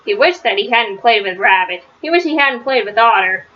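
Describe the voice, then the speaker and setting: A woman's voice: a little high, lively intonation, brisk. A woman reading a children's story aloud as a storyteller. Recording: slightly dull, faintly noisy recording.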